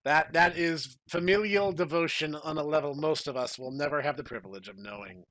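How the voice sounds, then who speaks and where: A man with a fairly high voice chats as a podcast co-host. He gives mock-earnest, emphatic commentary, stopping and restarting for effect. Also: brisk, fairly bright tone. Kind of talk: casual banter with mock-earnest praise.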